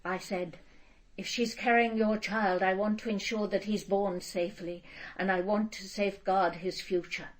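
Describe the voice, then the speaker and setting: A woman's voice: mid-range voice, fairly even intonation, moderate pace, lightly acted, low-quality recording. A woman playing a proud, cold elderly aristocrat in a radio drama, speaking with haughty, precise control. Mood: controlled, confiding.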